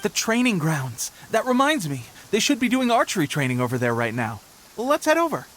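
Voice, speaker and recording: Eager, high-voiced young soldier. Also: male voice, wildly swooping pitch, a little fast, a bit bright, slight background noise, exaggerated voice. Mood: cheerful, confident. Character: eager young male soldier, boastful, earnest and helpful. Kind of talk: English video game voice acting.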